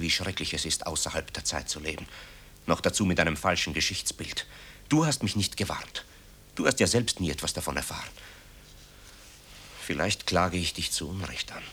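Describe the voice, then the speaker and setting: Deep, sharp, bitter composer son. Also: male voice, normal speed, pauses often, slightly rough, exaggerated voice. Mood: anguished, scornful. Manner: eloquent, accusing, theatrical declamation. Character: bitter, guilt-ridden composer son confronting his mother. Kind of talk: German radio play acting.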